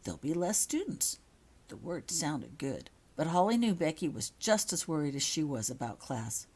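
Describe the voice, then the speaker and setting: Low, husky woman reading a story aloud. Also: sing-song, normal speed, a little mellow. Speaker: author reading own fan fiction. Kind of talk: scripted storybook narration.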